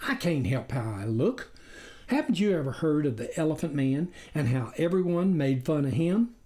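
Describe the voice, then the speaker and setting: A narrator voicing a smooth-talking, wily coyote posing as an official, over-polite and wheedling, with a baying, yapping quality. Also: medium pitch, big pitch swings, normal speed, dark tone, close to an everyday voice. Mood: wounded, indignant. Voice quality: baying, yapping, at times near howling. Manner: over-polite, wheedling, indignant, repeats words.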